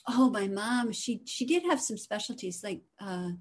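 Female guest storyteller, medium pitch, casual and animated anecdotal delivery. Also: normal speed. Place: at home.

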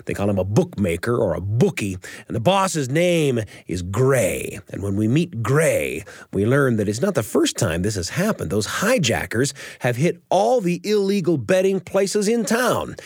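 A male radio host telling a story in a chatty, explanatory way. His mid-pitched voice is very animated, recorded close in a dry studio. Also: breathy edge, no echo. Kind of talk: animated storytelling commentary on an old radio show.

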